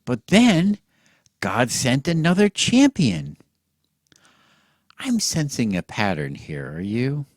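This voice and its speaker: Guy doing mock-earnest bedtime storytelling, slow n theatrical, huskyish voice, super dry close sound. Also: mid-range voice, huge pitch swings, no room sound. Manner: theatrical, mock-earnest, with drawn-out words and hushed drops. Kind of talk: satirical bedtime storytelling, as if to children.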